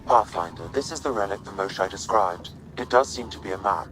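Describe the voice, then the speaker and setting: Man as a calm, low-voiced artificial intelligence. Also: brisk, music in the background, lightly acted. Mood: informative. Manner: even, clinical, formal. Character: calm, precise male artificial intelligence assistant. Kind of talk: video game voice acting.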